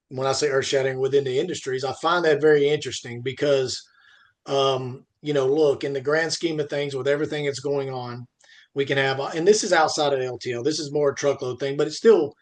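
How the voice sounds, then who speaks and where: A man in a casual industry discussion as podcast co-host, talking in a rambling way and repeating points for emphasis. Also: on the high side.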